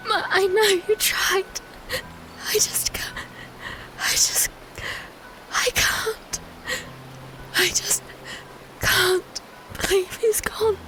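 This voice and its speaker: A woman playing a fragile young princess in an audio drama, tearful and halting, in a soft breathy whisper. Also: very high-pitched, flat delivery, full character voice, low-quality recording. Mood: devastated. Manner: broken, halting repetitions.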